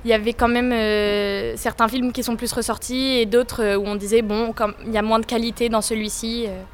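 A woman's voice: on the high side, little pitch movement, a little fast, a little hiss or hum. A teenage girl on a student jury, speaking thoughtfully with many fillers. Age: teens. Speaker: student juror.

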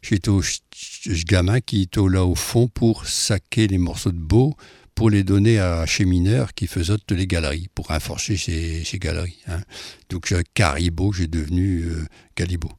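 A man giving an informal talk to an audience, halting and chatty, often tagging his phrases with "hein". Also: deep voice, steady tone, a little mellow, no echo, studio quality. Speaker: speaker presenting a dialect poet.

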